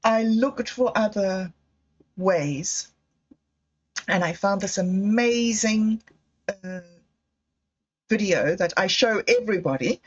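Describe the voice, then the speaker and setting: Slow, musing woman vlogger, lo-fi sound. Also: mid-range voice. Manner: musing, emotional, digressive, with self-mocking laughs. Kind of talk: reflective personal vlog monologue.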